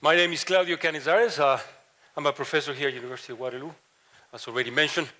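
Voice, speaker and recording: A man's voice: fairly high pitch, fairly even intonation, very fast, pushed and bright, a little hiss or hum. A middle-aged man from Mexico and Ecuador with a Latin American Spanish accent, giving a conference panel talk with many fillers and restarts. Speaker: professor, panel speaker.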